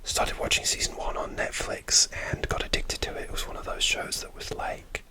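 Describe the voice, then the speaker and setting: A man whispering close to the mic, telling a rambling story for an ASMR video with many pauses and fillers. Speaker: ASMR creator.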